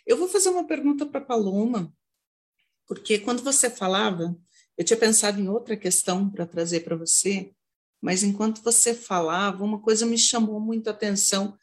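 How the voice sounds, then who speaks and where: A woman with a medium-pitched voice moderates an academic panel. She sounds thoughtful and unscripted, building and rephrasing her questions as she speaks. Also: moderate pace.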